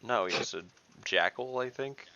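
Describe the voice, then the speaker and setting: A man's voice: mid-range voice, moderate pace, pushed and bright, no room sound, highs cut off. A man playing an online tabletop game, talking casually and directly with lots of questions.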